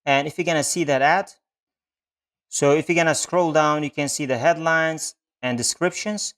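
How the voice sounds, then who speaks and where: Male tutorial presenter, slightly high voice, instructional delivery with non-native phrasing. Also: moderate pace, a bit bright. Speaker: Google Ads instructor. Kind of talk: screen-share tutorial narration.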